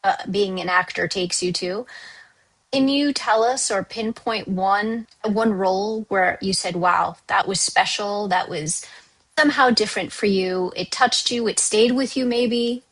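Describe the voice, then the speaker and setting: A woman's voice: mid-range voice, a little slow, a bit bright, rough recording. A woman interviewing an actress, asking long, careful questions that wind before landing. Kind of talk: conversational interview.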